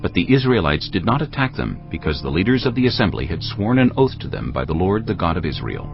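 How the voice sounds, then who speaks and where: A man narrating a dramatized Bible reading in a solemn, measured storytelling manner. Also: sits low, big pitch swings, gravelly, dull audio. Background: background music.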